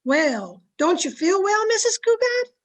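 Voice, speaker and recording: Woman acting a very high-pitched, chatty housemaid. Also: sing-song, measured pace, full character voice. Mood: attentive, dry. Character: chatty, frank, loyal female housemaid. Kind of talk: old-time radio sitcom acting.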